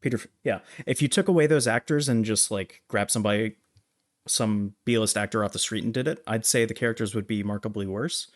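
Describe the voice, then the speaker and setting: A man's voice: lowish voice, fairly quick. A man co-hosting a film podcast, giving his opinions in an informal, conversational way. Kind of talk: casual film discussion.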